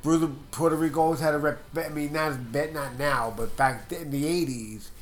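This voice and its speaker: A man's voice: fairly high pitch, slight background noise. A man with a stuffed-up nose, co-hosting a casual wrestling podcast and arguing his opinions at length. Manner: opinionated, talks at length in quick bursts. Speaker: podcast co-host.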